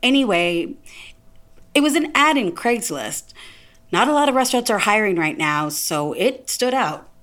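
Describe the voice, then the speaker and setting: Animated sardonic woman job seeker, acted by a woman. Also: medium pitch, moderate pace, natural voice. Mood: dry. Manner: sardonic, outspoken. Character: sarcastic, exasperated woman applying for diner job. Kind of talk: naturalistic audio fiction acting.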